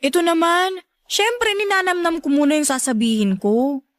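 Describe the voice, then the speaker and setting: A woman's voice: very high-pitched, moderate pace, no echo, studio quality. A woman playing a sweet, coy young woman in love in a radio drama, with a Filipino accent. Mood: coy, smitten.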